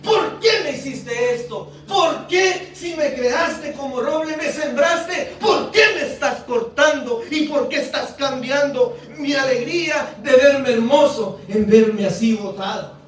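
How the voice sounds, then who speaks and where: Storybook talking oak, narcissistic and theatrical, high and drawn-out, played by a male preacher, heard far off in a reverberant space. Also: lively intonation, darkish tone. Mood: angry, indignant. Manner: preening, melodramatic, drawn-out exclamations. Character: vain narcissistic male oak tree, boastful, then wailing. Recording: echoey hall, far from mic, faint music.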